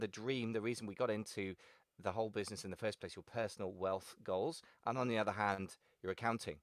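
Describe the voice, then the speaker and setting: Chatty male podcast host, low dry voice. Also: dry recording. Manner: playful, chatty, jokey openers. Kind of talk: casual podcast interviewing.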